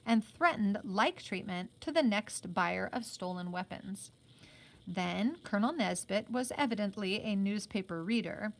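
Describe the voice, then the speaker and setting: A woman's voice: medium pitch, vocal fry. A woman reading a children's novel aloud as a teacher, expressively and with simple explanations.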